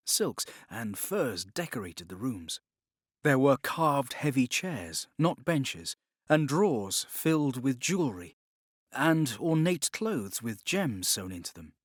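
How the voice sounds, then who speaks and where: A man's voice: medium pitch, breathy edge, no room sound, clean studio sound. A man narrating an audiobook in a scripted, dramatic storytelling style.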